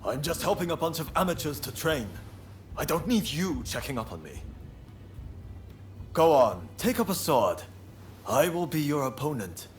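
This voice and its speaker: An actor playing a fiery, proud and idealistic young samurai in a video game, with earnest, formal phrasing. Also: male voice, fairly high pitch, very animated, moderate pace, long pauses, soft background music. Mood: brusque, challenging. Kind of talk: video game cutscene acting.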